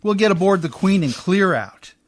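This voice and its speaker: A man playing a folksy, rough-spoken boat mechanic in a radio drama, deferential but skeptical, with ungrammatical homespun speech. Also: sits high, expressive, moderate pace. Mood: brisk, reassuring. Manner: folksy ungrammatical speech, often adding "miss". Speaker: actor in a community radio theatre. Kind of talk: radio drama acting in an old Hollywood radio style.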